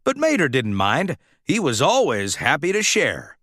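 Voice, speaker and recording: A man's voice: fairly high pitch, wildly swooping pitch, measured pace, a bit bright, dry recording, clean studio sound. A man reading a children's storybook aloud as scripted narration. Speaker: storybook reader.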